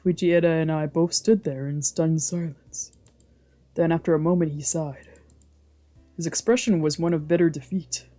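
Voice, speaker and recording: A woman reading first-person visual novel narration aloud in an expressive storytelling style. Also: low voice, moderate pace.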